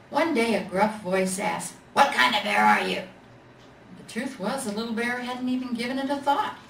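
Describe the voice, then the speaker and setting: Woman storyteller in an echoey room. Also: mid-range voice. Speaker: storyteller in character as Mrs. Claus. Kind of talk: children's storybook read aloud. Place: library event room. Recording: echoey room, distant mic, faint noise.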